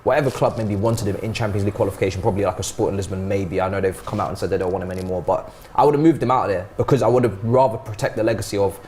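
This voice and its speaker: A man in his twenties on a football panel, giving quick, opinionated takes in casual banter. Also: lowish voice, steady tone, a little mellow, slight background noise. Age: 20s.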